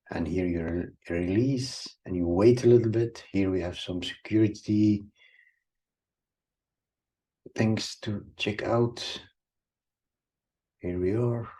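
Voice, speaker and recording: A middle-aged man whose first language is Dutch, hesitantly walking through a screen-recorded software tutorial. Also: low voice. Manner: hesitant, many ums and uhs. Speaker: tutorial presenter.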